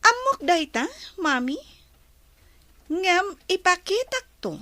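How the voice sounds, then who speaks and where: A woman's voice: very high voice, sing-song, slow, sharp tone, dry recording, exaggerated voice. A Filipino woman playing a proud, curt young daughter working abroad, in a radio drama. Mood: boastful, curt. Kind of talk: Ilocano radio drama acting.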